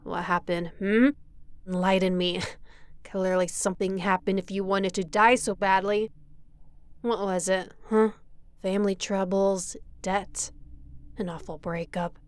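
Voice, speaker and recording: Slow, menacing woman captor acted by a woman. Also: medium pitch, level tone, dry recording, close to an everyday voice. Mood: scornful. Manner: slow, taunting, drifting into breathy whispers. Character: menacing, mocking female lighthouse keeper taunting her captive. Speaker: audio roleplay voice actor. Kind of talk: thriller audio roleplay, slow intimate monologue.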